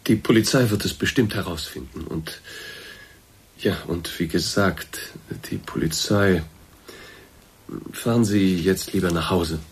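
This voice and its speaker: Ein Schauspieler spielt in einem Hörspiel einen besorgten, vorsichtigen Vorgesetzten, der förmlich und eindringlich spricht. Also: male voice, sits low, slightly rough, exaggerated voice. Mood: concerned, discreet. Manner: formal, measured, insistent. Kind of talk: naturalistic German radio drama.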